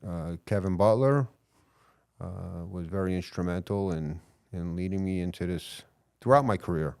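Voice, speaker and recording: A middle-aged man with a New York accent and a deep, smooth, resonant voice. He speaks as a police chief in a relaxed interview, earnest and measured and often pausing on fillers. The sound is close and dry, with no room around it. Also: no echo. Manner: earnest, measured, frequent hesitations. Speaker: police chief, podcast guest. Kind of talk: casual interview.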